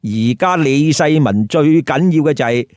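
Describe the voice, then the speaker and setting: A man's voice: fairly high pitch, moderate pace, a little mellow, dry recording, studio quality. A man with a Hong Kong Cantonese accent telling a story with colloquial, emphatic commentary and rhetorical questions. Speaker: storyteller host.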